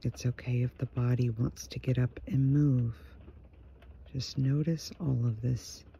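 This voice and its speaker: A man guides a meditation very slowly, his voice dark and soft with a heavy creak at the edges. He speaks gently in short, soothing phrases with space between them. The recording is a little muffled, with faint noise behind him. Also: medium pitch, steady tone. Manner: gentle, hushed, unhurried pauses between phrases.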